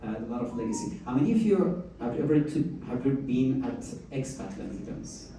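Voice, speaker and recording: A man's voice: mid-range voice, normal speed. A man hosting a live meetup, chatting casually and coaxing the audience to join in. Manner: animated, chatty, coaxing the crowd. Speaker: event host. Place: event room with audience. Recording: echoey room heard from far off, faint noise.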